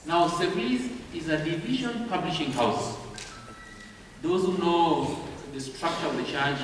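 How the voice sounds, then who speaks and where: A man with a Kenyan accent giving a training talk at a convention, speaking slowly and deliberately and checking in with the audience. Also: on the high side, slight background noise. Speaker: publishing house representative. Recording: echoey hall recorded from far off.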